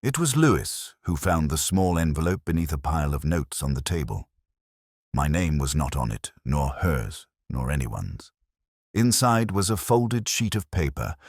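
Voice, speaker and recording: A man narrating a scripted first-person detective story in a measured, literary, reflective manner. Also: very deep voice, sing-song, slightly rough, no room sound, studio quality.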